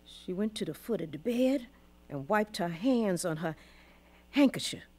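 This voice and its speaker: A woman's voice: fairly low pitch, lively intonation, unhurried, dark tone. An actress playing a weary, proud old Southern grandmother who was once enslaved, telling her story in heartfelt folksy dialect for a radio drama. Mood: pained, intense.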